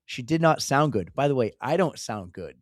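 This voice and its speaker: Hoarse man co-hosting a podcast. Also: medium pitch, moderate pace, no echo, studio quality. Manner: excitable, laughing, stumbling restarts. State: hoarse, losing his voice. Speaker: podcast co-host. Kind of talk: casual chat.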